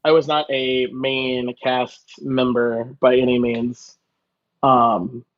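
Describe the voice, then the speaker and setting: A man's voice: medium pitch, level tone, slow pace, highs cut off. A man chatting casually as a guest chef, telling stories in a joking, self-deprecating way. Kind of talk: casual conversational storytelling.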